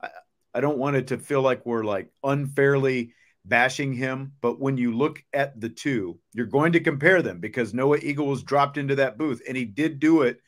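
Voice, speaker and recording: A man's voice: medium pitch, normal speed. Man hosting a casual sports talk show. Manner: conversational, poses questions to co-host. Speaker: sports podcast host.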